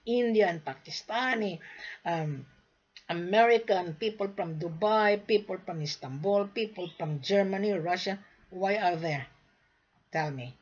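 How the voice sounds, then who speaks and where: Husky, emphatic woman lecturing traders. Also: medium pitch, lo-fi sound. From Philippines. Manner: emphatic, lecturing, repeats points. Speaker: gold sales agent. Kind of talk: direct-to-camera sales talk.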